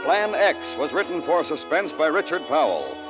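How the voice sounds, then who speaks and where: A man's voice: fairly high pitch, huge pitch swings, moderate pace. A man announcing a 1950s radio program from a script. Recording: muffled vintage radio recording with music behind. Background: music.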